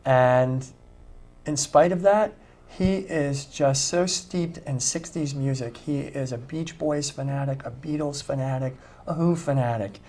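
A man's voice: mid-range voice, unhurried, a little mellow, a little hiss or hum. Middle-aged male musician, mid-range voice, conversational guest in a small studio. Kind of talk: conversational interview answers.

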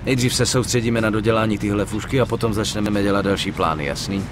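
A man's voice: fairly low pitch, fairly even intonation, noisy background, lightly acted. A man playing a wary, grumbling young gangster in a dubbed crime game, terse and slangy. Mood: annoyed. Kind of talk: dubbed video game acting.

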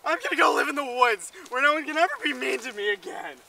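A man's voice: extremely high pitch, expressive, quick pace, vocal fry, bright, forceful tone, recorded from a distance, a little hiss or hum, heavily acted, poor audio. A young man in his late teens playing an oversensitive, aggrieved hiker in an amateur comedy sketch. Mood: hurt, indignant. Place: outdoors on a woodland trail.

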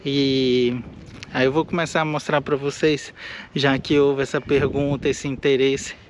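A man's voice: medium pitch, flat delivery, a little slow, slight background noise. A man from Minas Gerais, hosting his own vlog in an airfield hangar, chatting casually with many restarts and fillers. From Minas Gerais, Brazil. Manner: rambling, with frequent restarts and fillers. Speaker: channel host, eye doctor and pilot. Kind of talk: casual walk-and-talk vlog.

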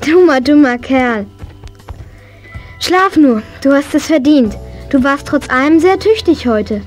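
High-voiced, spirited young girl. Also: lively intonation, measured pace, faint music behind. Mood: earnest, soft. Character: spirited horse-loving young girl, eager and excitable. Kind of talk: German children's audio drama acting.

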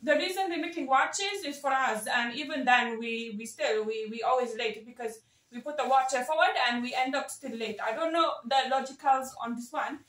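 A woman putting on a bossy, gossiping auntie who rules over her community, speaking in high-pitched Indian English with clipped, broken grammar and quick scolding asides. The voice sits well back from the mic in a roomy, echoing space. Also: a bit bright. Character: bossy gossiping middle-aged auntie, self-declared community elder. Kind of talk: comic character monologue. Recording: roomy sound from a distant mic.

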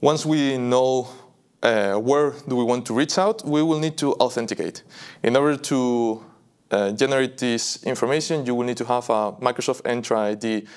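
Young man demonstrating software. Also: mid-range voice, normal speed, clean tone. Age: young adult. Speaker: software product presenter. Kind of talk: live software demo walkthrough. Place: indoor video studio.

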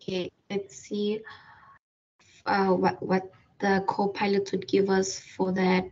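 A woman walking through a software tutorial over a screen recording, hesitant with many fillers and restarts. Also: mid-range voice, monotone, takes it slowly. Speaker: tutorial presenter. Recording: distant, dull lo-fi mic.

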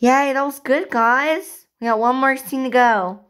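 Bossy, excitable young girl director for doll-play story played by a woman; high voice, unhurried pace. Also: slight background noise. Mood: eager, directing.

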